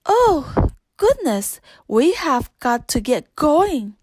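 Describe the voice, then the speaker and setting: A woman's voice: high-pitched, big pitch swings, takes it slowly, darkish tone, no room sound, exaggerated voice. A woman voicing a kind, earnest young princess in a children's storybook. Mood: caring.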